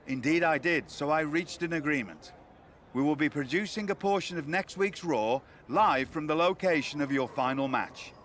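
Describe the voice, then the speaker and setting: Theatrical, higher-pitched wrestling promoter. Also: male voice, animated, moderate pace, fairly bright tone, slight background noise. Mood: formal, showman-like. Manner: articulate, theatrical, dry wit. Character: dapper, theatrical male wrestling promoter and go-between. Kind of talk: video game cutscene dialogue.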